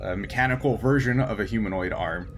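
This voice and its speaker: A man's voice: on the low side, fast, slight background noise. A man narrating a tabletop role-playing game as game master in an informal way, thinking aloud as he goes.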